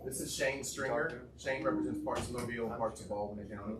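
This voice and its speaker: A man, a state legislator talking casually and in a relaxed way in a committee room. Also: medium pitch, fairly quick, over a noisy crowd. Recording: echoey room, distant mic, noisy.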